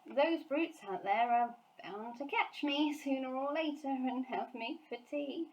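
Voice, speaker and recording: Woman voicing an earnest little girl, lilting high. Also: a little slow, a little mellow, dull audio. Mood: anxious. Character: small orphan girl, earnest, anxious and inquisitive.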